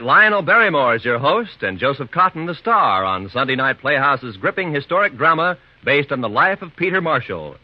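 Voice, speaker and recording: An American man announcing on 1950s radio in a polished, formal promotional style, on a dull old recording. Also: on the high side.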